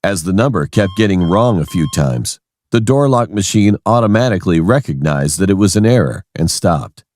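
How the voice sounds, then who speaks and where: Very deep-voiced male horror narrator. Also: expressive, moderate pace, a little mellow, no echo, clean studio sound. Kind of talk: scripted first-person horror narration.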